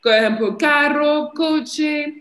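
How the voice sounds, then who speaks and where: A woman's voice: high-pitched, takes it slowly, fairly bright tone, roomy sound. A woman from France with a French accent, a native French speaker, talking chattily as an interview guest over a video call, often restarting her phrases. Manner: chatty, hesitant with many restarts, laughs often. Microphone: laptop mic on a video call.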